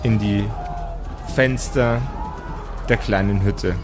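A man narrating an improvised tabletop audio play as game master, in measured, dramatic short phrases. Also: on the low side, fairly even intonation, over music.

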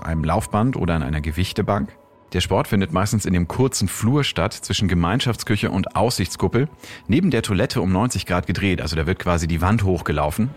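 A man hosting and narrating scripted science documentary segments in a measured, explanatory manner. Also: deep voice. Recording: clear music bed behind.

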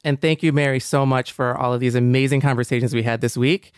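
A woman's voice: a bass voice, fairly even intonation, fast, a little mellow, clean tone, dry recording, clean studio sound. A woman co-hosting a podcast, speaking in a casual conversational style. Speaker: podcast co-host.

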